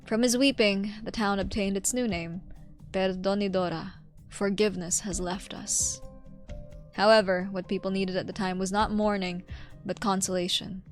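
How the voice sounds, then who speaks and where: A woman's voice: medium pitch, steady tone, normal speed, soft background music, natural voice. A woman voicing a grave, eloquent old male inquisitor in game dialogue, speaking formally and reflectively. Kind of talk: game dialogue read aloud.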